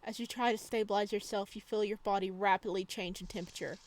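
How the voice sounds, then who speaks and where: A woman's voice: medium pitch, fairly even intonation, fast. A woman hosting a gaming video, reading visual novel narration aloud. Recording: some background noise under voice.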